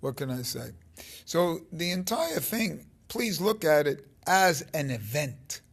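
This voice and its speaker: A man in his fifties giving an informal spiritual talk to his phone, halting and rambling, with emphatic stresses and a gravelly voice. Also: a little high, very animated. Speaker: spiritual teacher. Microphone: phone held close at arm's length.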